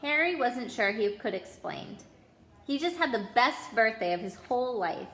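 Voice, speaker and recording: A woman reads a story aloud as a storyteller for children, with expressive narration. Her voice is fairly high and bright, and the room adds a noticeable echo. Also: normal speed.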